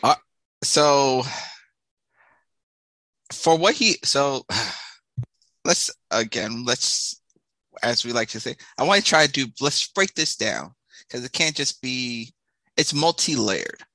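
A man co-hosting a podcast in casual chat, with a nasal voice still recovering from a hoarse week. He talks haltingly and restarts his phrases. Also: medium pitch, lively intonation, sharp tone, dry recording, poor audio. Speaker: podcast co-host.

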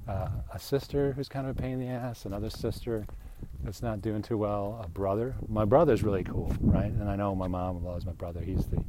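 A man's voice: lowish voice, fairly even intonation, fairly quick. Rambling fifty-something man, noisy recording. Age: 50s. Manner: chatty, self-deprecating, frequent "right?" asides. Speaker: solo podcast host. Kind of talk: rambling solo podcast monologue.

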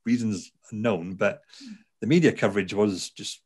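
Welsh male author, lower register, conversational interviewee, lots of restarts. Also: moderate pace, darkish tone, breathy edge. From South Wales. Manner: chatty, frequent fillers and restarts. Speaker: author, neuroscientist.

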